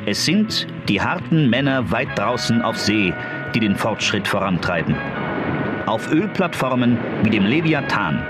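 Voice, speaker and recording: A solemn promo film narrator. His delivery is grandiose and emphatic with a wide melodic range, over a clear music bed. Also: lowish voice, sing-song, a little slow. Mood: grand, solemn.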